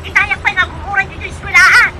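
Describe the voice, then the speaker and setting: A woman's voice: very high-pitched, fairly even intonation, brisk, over a noisy crowd, very noisy. A woman acting in a comedic radio drama as a bossy, impatient schoolteacher wife, nagging and scolding.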